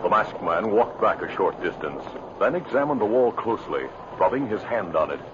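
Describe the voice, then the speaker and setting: Dramatic, low-voiced male radio narrator. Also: big pitch swings, moderate pace, noisy background. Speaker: announcer and narrator. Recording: muffled old radio recording.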